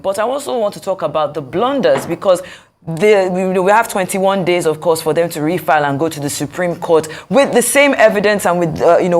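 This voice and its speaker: A woman hosting a broadcast political discussion in a polite, measured, formal way. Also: medium pitch.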